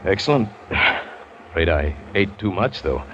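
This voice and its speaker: A man's voice: sits low, big pitch swings, unhurried, background noise. An actor playing a confident big game hunter who recounts his adventures, in the style of 1940s radio drama. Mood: relaxed. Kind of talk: 1940s radio drama acting, first-person narration. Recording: dull old radio recording with loud music.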